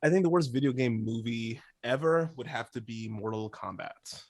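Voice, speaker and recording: A man's voice: medium pitch, animated, normal speed, darkish tone, dry recording. A man on a podcast panel, giving emphatic opinions in a casual, chatty way.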